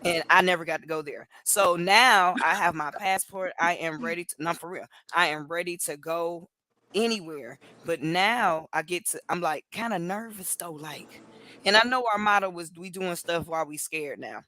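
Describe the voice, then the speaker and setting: A woman from Cleveland co-hosting a casual livestream chat from home over a video call, animated and laughing often. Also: on the low side, normal speed, no room sound. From Cleveland, Ohio. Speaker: co-host.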